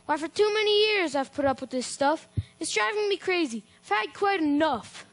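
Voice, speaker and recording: A woman's voice: extremely high pitch, animated, moderate pace, voice pushed up. A woman doing a grumpy scheming old man. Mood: grumbling. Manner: barking, sneering rhymed delivery. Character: grumpy, scheming old male church-hater.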